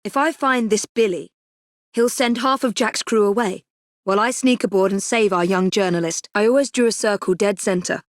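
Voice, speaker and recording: An actress with an English accent playing a poised, determined young Victorian assassin in a video game, speaking in crisp, formal phrases with dry wit. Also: female voice, mid-range voice, moderate pace, dry recording, natural voice. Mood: composed, dry. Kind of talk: dramatic video game voice acting.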